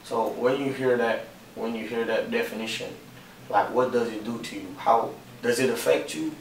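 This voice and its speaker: A man interviews a guest with polite, plainly worded questions. His voice sits at a middle pitch and is picked up from some distance. The room around him is echoey. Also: fairly even intonation, normal speed, vocal fry, slight background noise. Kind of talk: casual student interview.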